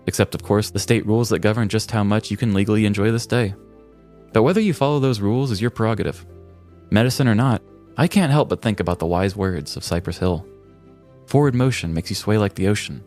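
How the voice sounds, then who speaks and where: American guy doing a podcast, kinda low voice, wry storyteller, faint music behind. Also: a little mellow. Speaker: podcast co-host. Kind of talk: scripted story narration and casual podcast chat.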